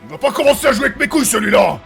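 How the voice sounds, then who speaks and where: A man's voice: extremely high pitch, sing-song, rapid-fire, gravelly, full character voice. A man playing a foul-mouthed, hot-tempered warrior in a French fantasy comedy audio drama, with crude, blustering delivery. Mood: furious, taunting. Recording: dramatic music behind the voice.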